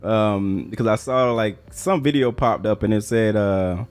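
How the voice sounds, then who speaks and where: A man's voice: lowish voice, a little slow, clean tone, soft background music. An American man hosting a casual interview in a laid-back, slangy way. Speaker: interviewer, host.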